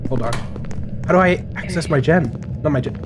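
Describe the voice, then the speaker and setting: A man's voice: a little high, brisk, background noise. A brash man in casual gaming chat, repeating himself as he talks to his teammates. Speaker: gamer in a group online horror game.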